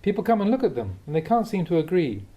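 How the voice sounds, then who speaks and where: Monk guy reading dharma teachings, bit high voice, warm and mellow, measured with little chuckles. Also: big pitch swings. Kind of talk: reading a dharma talk aloud.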